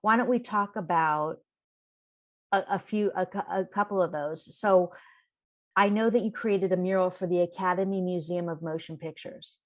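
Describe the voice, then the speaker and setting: A woman's voice: lowish voice, moderate pace. A woman hosting a podcast, reading an introduction and then chatting with her guest in an upbeat way. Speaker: podcast host. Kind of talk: scripted intro then interview chat.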